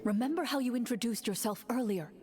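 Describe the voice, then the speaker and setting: A woman's voice: a little high, lightly acted. A woman voicing a composed, principled knight. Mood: firm. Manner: measured, composed. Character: calm composed female knight, principled and dry-witted. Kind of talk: game voice acting. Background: game music.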